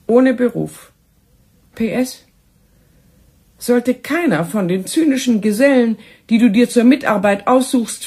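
A woman's voice: a little high, vocal fry, darkish tone, husky, heavily acted. A woman playing an indignant, doting listener in her seventies, prim and reproachful, in a German radio play. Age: 70s. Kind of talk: radio play, letter read in character.

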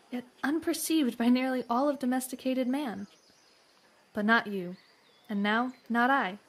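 A woman's voice: a little high, lightly acted. An actress playing a refined, bookish, earnestly enthusiastic young lady in a western audio drama, with formal, florid diction.

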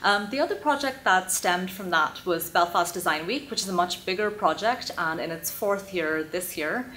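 A woman introducing her work on a conference panel, fluent and steady. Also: lowish voice, moderate pace, slight background noise. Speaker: panel speaker, design event founder. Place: conference stage, large hall.

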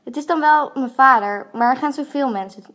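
A woman's voice: sits high, a little fast, fairly bright tone. A woman playing a brave, thrill-seeking princess in amateur radio drama, with a plain, casual delivery. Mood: casual, independent.

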